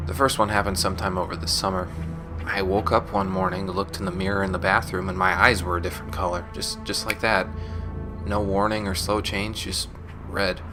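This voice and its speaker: A deep, subdued voice from a man acting as a weary former gang member and recovering addict. The delivery is measured and reflective, like a private confession in a sci-fi audio drama. Music plays clearly underneath. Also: natural voice. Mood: haunted.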